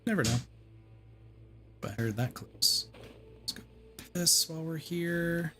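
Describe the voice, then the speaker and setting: A man's voice: a little high, measured pace, music in the background. A man giving casual livestream commentary on a game, muttering to himself and swearing mildly. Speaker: game streamer.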